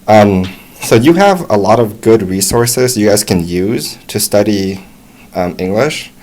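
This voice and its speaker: A young American man giving relaxed, encouraging advice to English learners, talking casually with frequent restarts. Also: fairly low pitch, a little slow, a little hiss or hum. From United States. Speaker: English tutor. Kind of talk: casual talk to camera.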